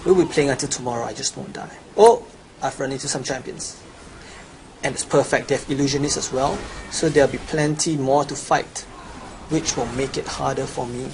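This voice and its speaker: A man's voice: medium pitch, moderate pace, background noise. A young man with a Chinese accent, boasting through a promotional gameplay voice-over as he lists his gear and stats. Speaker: gamer promoting a gold guide.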